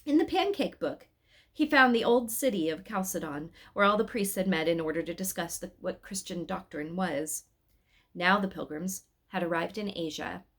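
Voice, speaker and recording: A woman reading a children's story aloud in an expressive style. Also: lowish voice, normal speed.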